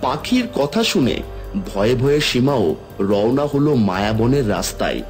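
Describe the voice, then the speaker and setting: A man's voice: medium pitch, lively intonation, moderate pace. A man reading scripted fairy tale narration for a cartoon. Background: background music.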